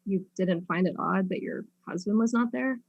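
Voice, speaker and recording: A woman's voice: mid-range voice, normal speed, gravelly, a little mellow, dull audio. A woman playing a polite, inquisitive detective in a mystery drama, questioning people in an earnest and slightly hesitant way. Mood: polite probing.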